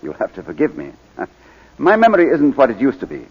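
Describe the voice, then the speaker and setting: Genteel gentleman, very expressive, breathy. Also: medium pitch, a little fast, full character voice. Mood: apologetic, courteous. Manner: polite, genteel, hesitant. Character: courteous, hesitant English baronet and gentleman. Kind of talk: 1940s radio drama acting. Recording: muffled 1940s radio recording.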